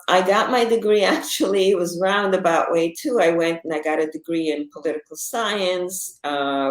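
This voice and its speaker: A woman's voice: lowish voice, echoey room, low-quality recording. Israeli-born woman in her sixties. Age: 60s. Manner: halting, many fillers and restarts. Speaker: author and psychotherapist, guest. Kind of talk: conversational interview.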